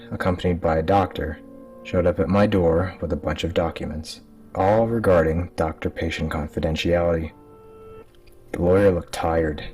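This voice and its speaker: A man's voice: low voice, darkish tone. A man reading a scripted horror story as a dramatic narrator. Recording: distant mic with music underneath. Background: music.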